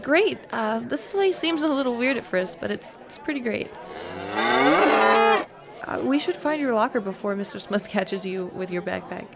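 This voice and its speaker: A woman playing an awkward, friendly high school girl in an audio drama, speaking hesitantly. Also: expressive, measured pace, creaky, over loud music. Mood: awkwardly friendly. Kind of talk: scripted audio drama acting.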